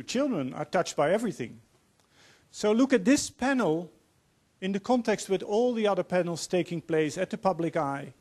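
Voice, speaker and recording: Man, 50s, Dutch accent, higher voice, earnest forum moderator on a handheld mic. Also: darkish tone. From Netherlands. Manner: earnest, measured, quoting speakers. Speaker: panel moderator. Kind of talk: formal opening speech. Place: large auditorium on stage.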